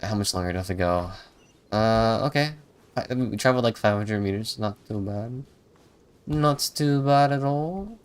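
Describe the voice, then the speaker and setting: A man's voice: fairly low pitch, moderate pace, clean tone. A man doing casual live gameplay commentary, muttering his reactions to himself as he plays. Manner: muttering reactions to himself, humming and singing snatches. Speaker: game streamer.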